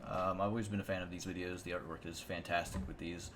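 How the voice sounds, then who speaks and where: Man, low voice, gaming video host, casual rambling commentary, faint noise. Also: flat delivery, fast talker, a bit bright. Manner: rambling, offhand. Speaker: video game channel host.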